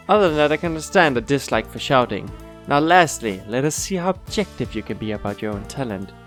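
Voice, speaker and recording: An actor playing a courteous, lightly teasing school interviewer in an audio drama, formal and measured. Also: male voice, mid-range voice, natural voice. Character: courteous, teasing male school interviewer, former talent scout.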